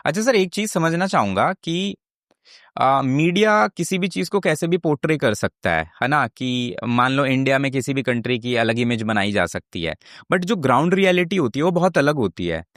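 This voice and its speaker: A male podcast host with an Indian accent speaks in a casual interview style, mostly Hindi with English words mixed in. He is attentive and respectful toward his guest. His pitch is average and the recording is dry. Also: lively intonation, moderate pace, a bit bright, no echo. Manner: deferential, attentive, frequent affirmations.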